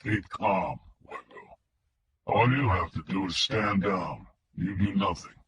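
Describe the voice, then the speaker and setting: A man's voice: deep voice, husky, no echo, exaggerated voice. Man voicing a cold, filtered kidnapper voice. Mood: cold menacing. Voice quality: flat, cold and mechanical. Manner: slow, measured threats. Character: menacing male kidnapper speaking through a voice filter. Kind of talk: audiobook narration.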